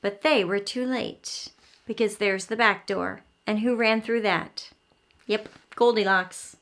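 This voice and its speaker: A woman hosting a read-aloud for young children, animated and encouraging, explaining simply and asking the listener questions. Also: medium pitch, slow pace. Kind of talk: children's storytime, speaking directly to young listeners.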